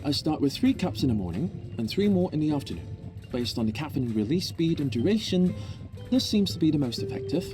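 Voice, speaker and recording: An actor playing a fussy, self-important scientist in a video game, speaking in a formal, pedantic way. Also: male voice, mid-range voice, close to an everyday voice. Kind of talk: video game character acting. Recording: music behind the voice.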